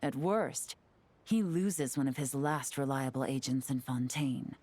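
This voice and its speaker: A woman's voice: very deep voice, darkish tone, no echo. A woman playing a cool, confident and shrewd intelligence agent in a game, speaking in a composed, assured way. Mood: confident, calculating. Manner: composed, assured, measured explaining. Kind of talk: game character dialogue. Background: faint music.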